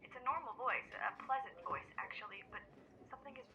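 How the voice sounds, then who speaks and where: A woman's voice: sits high, fast talker, muffled recording, background noise. A woman playing a flustered, frightened caller in a radio play, agitated and apologetic, through a telephone filter. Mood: shaken. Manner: agitated, defensive, then apologetic.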